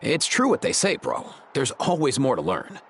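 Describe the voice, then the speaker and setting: A man's voice: mid-range voice, wildly swooping pitch, moderate pace, slightly rough, full character voice. A man voicing a laid-back, friendly young vet in a video game, talking in casual bro slang. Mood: relaxed, earnest. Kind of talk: video game character dialogue.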